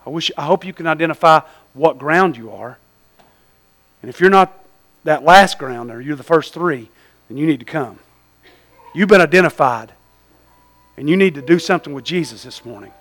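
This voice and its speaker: A man's voice: on the high side, animated, moderate pace, pauses often, fairly bright tone. A man with a Southern US accent preaching an evangelistic sermon in a folksy, exhortatory way, putting questions to his listeners and repeating phrases for emphasis.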